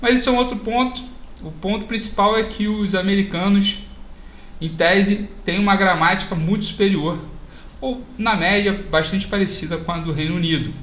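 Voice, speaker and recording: Male teacher from Brazil, lively casual explainer, slightly high voice, echoey home room. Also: normal speed. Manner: casual, animated explaining, pointing at a chart. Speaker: economics teacher. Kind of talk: lecture to camera over charts.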